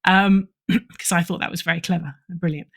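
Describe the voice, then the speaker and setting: A woman's voice: on the low side, steady tone, brisk, creaky, fairly bright tone. Chatty, self-deprecating middle-aged woman. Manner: self-deprecating, chatty, chuckles through remarks. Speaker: podcast guest, writer and editor. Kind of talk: casual interview talk.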